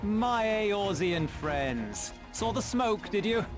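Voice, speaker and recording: Weary rebel leader: high-set voice, measured and dry, clear music underneath. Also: male voice, big pitch swings, full character voice. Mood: wry reproach. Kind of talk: dramatic game cutscene acting.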